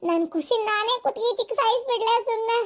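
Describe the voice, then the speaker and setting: A woman's voice: very high-pitched, quick pace. A woman voicing the grieving, restless ghost of a young mother in a melodramatic cartoon story, lamenting with a North Karnataka accent. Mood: pleading, despairing. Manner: plaintive, lamenting.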